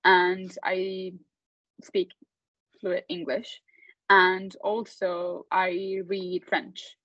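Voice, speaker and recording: A woman's voice: fairly low pitch, drawn-out words, dry recording. Mexican-born woman on a dull dry call. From Mexico City. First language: Spanish. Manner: relaxed, frequent fillers and laughs. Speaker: panel guest. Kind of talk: casual online panel talk.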